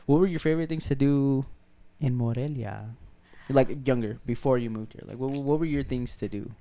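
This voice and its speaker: A man's voice: mid-range voice, moderate pace, warm tone. A man hosting a podcast in a casual, teasing way, laughing often. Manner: joking, teasing, laughs a lot. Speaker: podcast host. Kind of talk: casual chat.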